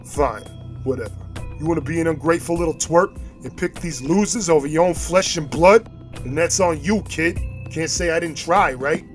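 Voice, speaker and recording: An actor playing a boastful, belligerent drunken gangster father with rough streetwise talk in a comic-book audio drama. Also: a little high, normal speed, over music, lightly acted. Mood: sneering, bitter.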